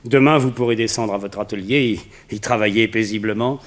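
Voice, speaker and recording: Low-voiced, imperious villain played by a man. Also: a little fast, natural voice. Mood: commanding. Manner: imperious, interrogating, commanding. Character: cold domineering male villain, a hypnotist master giving orders. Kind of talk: 1960s French radio drama acting.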